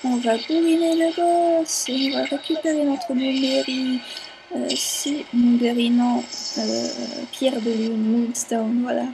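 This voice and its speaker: A young woman whose first language is not French, giving casual let's play commentary, often pausing with fillers as she searches for words. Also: high voice, fairly even intonation, noisy background. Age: young adult.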